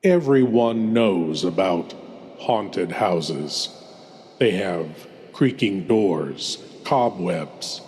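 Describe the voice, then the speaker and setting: A man playing a theatrical, sinister horror host lord, with grandiose delivery and dramatic pauses. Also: low voice, a little mellow, a little hiss or hum, full character voice. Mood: ominous, theatrical. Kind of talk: old-time horror host introduction. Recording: long hall reverb.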